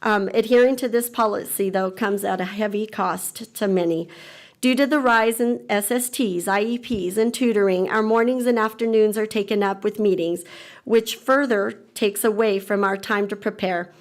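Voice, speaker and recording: A woman's voice: medium pitch, steady tone. Woman teacher reading a formal statement. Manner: earnest, measured, formal. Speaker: teacher speaking at public comment. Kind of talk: reading a prepared public comment. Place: school board meeting room.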